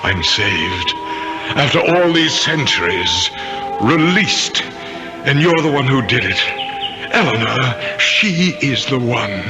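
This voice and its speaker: Tormented old ghost, slow and sweeping. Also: male voice, mid-range voice, sing-song, music in the background. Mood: awed, grateful. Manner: halting, anguished, repeats words for emphasis. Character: centuries-old male ghost, tormented, remorseful, pleading. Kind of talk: 1970s radio drama acting.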